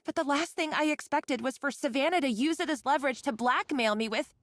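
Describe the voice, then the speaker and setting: A woman's voice: high-pitched, brisk, close to an everyday voice. A woman voicing a timid young female kitchen worker who stammers and halts, tearful and guilt-ridden. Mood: remorseful. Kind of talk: game voice acting. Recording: game audio with soft music.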